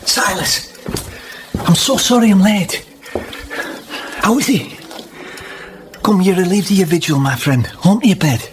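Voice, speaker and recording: An actor playing a sly, pious false friend in a period radio drama, with measured, formal, sanctimonious speech. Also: male voice, high voice, slight background noise. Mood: soft, musing. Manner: measured, sanctimonious, formal old-fashioned phrasing.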